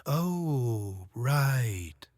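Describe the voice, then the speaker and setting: A man's voice: medium pitch, very animated, extremely slow, darkish tone, long reverb tail. A man playing a dim, puzzled villain's henchman in an audio drama.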